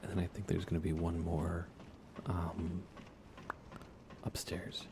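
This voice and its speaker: A man doing soft-spoken ASMR game commentary as a live streamer, murmuring and sometimes slipping into a whisper. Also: low voice, little pitch movement, slow, noisy background.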